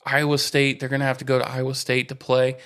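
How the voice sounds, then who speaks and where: An American man co-hosts a casual sports podcast. He talks informally and emphatically, pressing his opinions in a medium-pitched voice. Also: monotone, fast talker, fairly bright tone, smooth.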